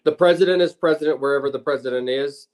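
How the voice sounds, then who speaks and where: A middle-aged man, a lawyer and former legislator, answers an interviewer's questions at an ordinary pitch. He is a native English speaker working in a second language, so he restarts and hunts for words as he goes. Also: a little mellow. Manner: halting, searching for words in Spanish. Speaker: lawyer and former state senator, guest.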